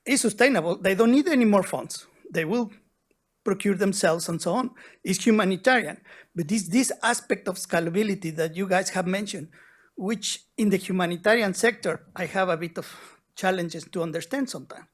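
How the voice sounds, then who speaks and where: A man from Mexico, an audience member putting a long, deliberate question to a seminar panel and telling a story to make his point. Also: sits high.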